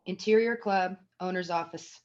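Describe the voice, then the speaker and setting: A woman's voice: lowish voice, moderate pace, a little mellow, highs cut off. A woman reading stage directions as the narrator of a scripted screenplay table read.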